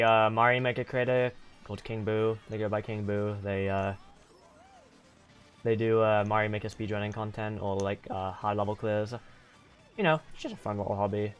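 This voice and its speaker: A man's voice: fairly low pitch, normal speed, fairly bright tone, clear, resonant tone. A young man streaming and chatting casually with viewers, rambling with lots of "like" and restarts, venting at times. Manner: venting, exasperated. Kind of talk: casual livestream chat with viewers. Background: faint game music.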